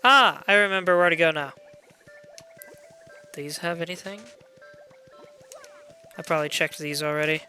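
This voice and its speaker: Bright, chatty young streamer. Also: medium pitch, normal speed, pauses often, faint music behind. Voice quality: loud voice. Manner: chatty and excitable, greets and reads viewer chat aloud. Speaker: game streamer. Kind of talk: casual live gameplay chat.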